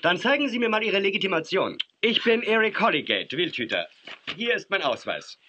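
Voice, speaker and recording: An actor playing an unfriendly young game warden in a children's audio drama, curt and suspicious. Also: male voice, high-pitched, very animated, brisk, sharp tone, a little hiss or hum, exaggerated voice.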